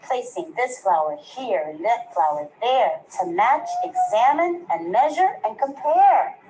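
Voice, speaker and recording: A woman's voice: fairly high pitch, very animated, unhurried, dark tone, a little hiss or hum, low-quality recording. A woman teacher reading a rhyming picture book aloud to young children, stopping to ask them questions. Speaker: preschool teacher.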